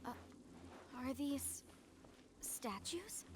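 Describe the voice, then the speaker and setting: A woman's voice: high-pitched, lively intonation, extremely slow, exaggerated voice. An actress playing a curious, wary young woman in a video game. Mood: hushed, curious. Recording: faint music behind the voice.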